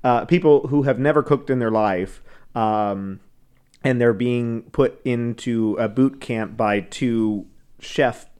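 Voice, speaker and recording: A man's voice: mid-range voice, slow, darkish tone, clean tone. A man co-hosting a casual podcast chat. He rambles, laughs a lot and stumbles through fillers. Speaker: podcast co-host.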